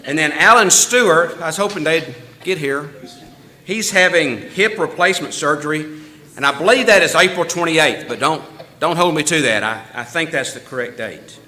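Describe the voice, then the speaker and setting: Bright-voiced folksy man. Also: a little high, normal speed. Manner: folksy, chatty, laughs easily, with small restarts. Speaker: church member giving announcements. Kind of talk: casual church announcements. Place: church meeting room.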